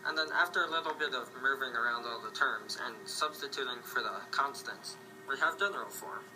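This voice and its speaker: A young man presents scripted math material in the manner of a student. His pitch is middling, and music plays clearly behind him. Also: brisk, low-quality recording. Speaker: student presenter in a puppet show. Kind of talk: scripted math lesson narration. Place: classroom.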